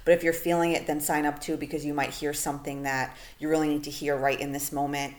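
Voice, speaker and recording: A woman with a low voice hosts her own podcast alone. She talks in a loose, chatty way with plenty of fillers. Her voice is going a little hoarse as she is losing it. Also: flat delivery, fast talker. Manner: casual, chatty, lots of fillers like "you know" and "like". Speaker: podcast host. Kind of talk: solo podcast monologue.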